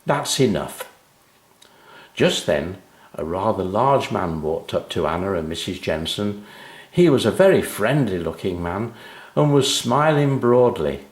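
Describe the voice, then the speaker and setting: A man reading a scripted children's story as narrator, in an expressive and deliberate storytelling manner. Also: lowish voice, reverberant. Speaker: author reading his own story.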